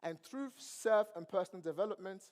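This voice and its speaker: Nigerian guy hyping up a crowd, kinda high voice, lots of questions, big echoey hall. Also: fairly quick, a little mellow. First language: not English. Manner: preachy, asks the crowd questions. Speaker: motivational speaker. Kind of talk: motivational stage speech. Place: large event hall. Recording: long hall reverb.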